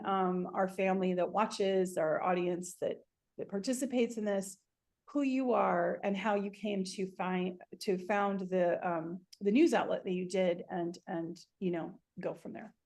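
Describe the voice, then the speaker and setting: A woman hosting an interview, speaking emotionally and haltingly, with frequent restarts. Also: mid-range voice, a little mellow. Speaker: show host.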